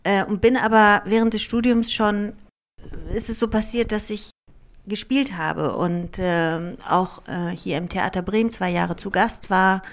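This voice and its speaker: A woman in her thirties, a writer and performer born in Germany and raised partly in Greece, whose first language is Greek, talks candidly and reflectively in an interview, with fillers and restarts. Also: medium pitch. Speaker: author and performer, guest. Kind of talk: relaxed conversational interview.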